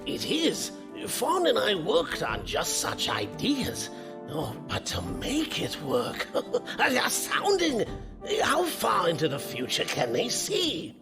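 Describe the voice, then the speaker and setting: An excitable, moralistic professor in a science fiction audio drama. The voice is gravelly and swoops wildly, drawing out words theatrically. Music runs clearly underneath. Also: male voice, high-pitched, full character voice. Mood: amazed. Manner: theatrical, drawn-out exclamations.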